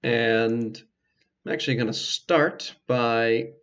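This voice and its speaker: A man giving casual, explanatory let's play commentary, with hesitant pauses as he goes. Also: mid-range voice, fairly even intonation, fairly bright tone, smooth, highs cut off. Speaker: video game player and commentator.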